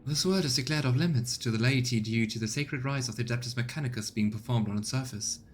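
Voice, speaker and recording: A man voicing a formal, deferential ship's officer in a video game, measured and courteous. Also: medium pitch, slight background noise, natural voice. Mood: formal, regretful. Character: formal, deferential officer advising a starship lord.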